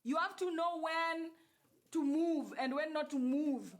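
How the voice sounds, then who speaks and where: A woman's voice: high-pitched, measured pace. A Kenyan woman with a Kenyan accent, hosting a livestream, talking casually and emphatically about energy to her viewers, repeating key phrases. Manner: repetitive, emphatic, switches into Swahili and Luo phrases. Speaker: livestream host. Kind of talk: casual spiritual talk to live viewers.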